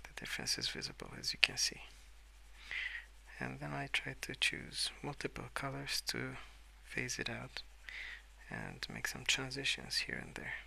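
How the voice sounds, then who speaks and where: A man speaking softly and hesitantly in a rambling ASMR style, often slipping into whispers at a desk indoors. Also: medium pitch, huge pitch swings, moderate pace, creaky, husky, low-quality recording. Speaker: host of a colouring ASMR channel. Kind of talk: soft-spoken rambling ASMR. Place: at a wooden desk indoors, with pencil sounds.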